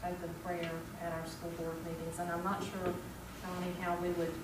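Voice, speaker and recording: A middle-aged woman talks at a public board meeting in a slightly low, very flat voice, stopping and repeating words as she weighs her point. She is heard from a distance, with heavy room echo and background noise. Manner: hesitant, repeats words, measured. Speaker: school board member. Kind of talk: formal meeting discussion. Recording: echoey room, far from mic, background noise.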